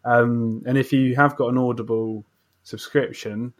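Male podcast host, mid-pitched; effusive, agreeable, conversational interviewer. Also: steady tone, measured pace. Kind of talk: casual interview chat.

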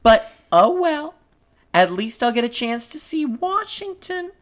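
A woman's voice: on the high side, very animated, a little slow, pitched above the performer's own voice. A woman playing a coy, breezy young woman from a stage musical, with a sing-song theatrical delivery. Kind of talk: amateur stage script read-through.

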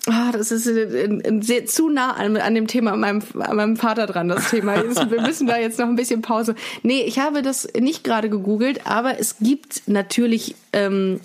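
A woman's voice: a little high, normal speed, few pauses. A woman chatting casually and candidly as a podcast co-host, rambling and searching for words.

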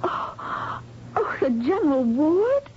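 An American woman playing a warm-hearted, spirited young clinic worker in a radio drama, earnest and emotional in dialogue and narration. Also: high-pitched, big pitch swings, slow, exaggerated voice. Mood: indignant, pleading. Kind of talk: radio drama acting and first-person narration. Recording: noisy, muffled vintage radio broadcast.

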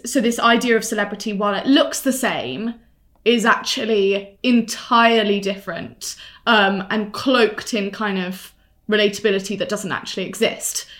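A woman at a mid-range pitch hosts a relaxed podcast conversation. She talks in a reflective, chatty way, drifting through thoughts and laughing easily between points. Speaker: podcast host. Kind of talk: casual interview chat.

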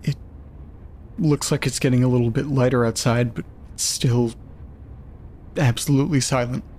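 A man's voice: medium pitch, steady tone, moderate pace, darkish tone, natural voice. Actor as a dryly sarcastic, bewildered man, background noise. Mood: shaken, incredulous. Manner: hesitant, muttering, dryly sarcastic. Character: bewildered, sardonic, sweary man alone at night reading strange letters. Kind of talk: audio drama monologue, recorded-diary delivery.

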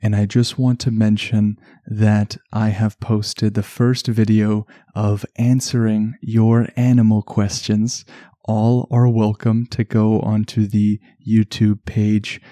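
A man with a Canadian accent hosting a calm relaxation podcast, speaking in a soothing, unhurried way. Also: lowish voice, no echo, studio quality. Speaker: podcast host. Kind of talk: slow relaxation podcast narration.

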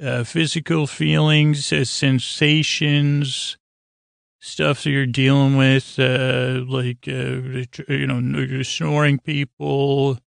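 A man with a creaky voice hosting a sleep podcast in a lulling, rambling way, hesitating and over-explaining as he goes. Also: mid-range voice, flat delivery, takes it slowly, no room sound, clean studio sound. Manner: soothing, lulling. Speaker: podcast host.

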